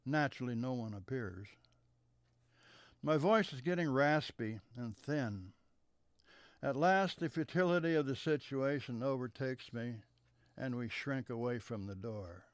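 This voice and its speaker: Male storyteller, mid-pitched, smooth tone, dry close mic, unhurried reading that falls soft at line ends. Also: lively intonation, no room sound. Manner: measured, trailing off softer at the ends of sentences. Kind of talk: reading a memoir story aloud.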